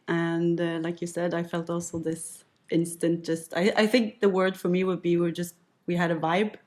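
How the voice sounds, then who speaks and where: A Norwegian-accented woman in her late thirties chats as a podcast guest, her voice sitting a touch low. She talks in an easygoing, reflective way, with the odd light laugh. Her first language is Norwegian. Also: level tone, moderate pace, darkish tone. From west coast of Norway. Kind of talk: casual conversational storytelling.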